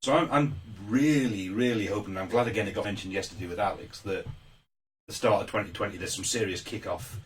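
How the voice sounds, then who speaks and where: A man's voice: fairly low pitch, a little fast, crowd noise. A man with a Yorkshire accent, co-hosting a casual live stream and rambling between laughs. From England. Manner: chatty, rambling, laughs often. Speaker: co-host and tournament judge. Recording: distant mic with faint room noise.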